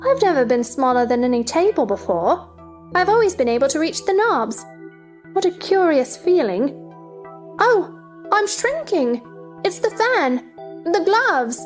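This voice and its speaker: A woman's voice: very high voice, sing-song, a little slow, faint music behind, heavily acted. A woman playing a polite, curious, bewildered seven-year-old girl in a theatrical audio drama, earnest and wondering. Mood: distressed.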